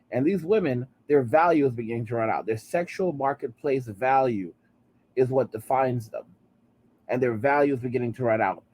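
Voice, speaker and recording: A man's voice: mid-range voice, a little mellow. A man in his late thirties hosting a commentary channel, ranting heatedly and repeating "all right?" between points. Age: 30s.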